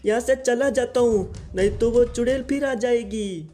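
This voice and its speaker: An actor voicing an earnest, mellow young village boy. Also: medium pitch, moderate pace, lightly acted. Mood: excited. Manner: earnest, excitable. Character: poor young village boy who herds goats. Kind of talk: cartoon voice acting.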